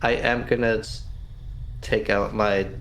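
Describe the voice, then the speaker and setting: A man chatting casually as a player in a tabletop roleplaying game, thinking out loud in a hesitant, musing way. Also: lowish voice, little pitch movement, unhurried, vocal fry, a little hiss or hum. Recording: music playing faintly behind. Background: background music.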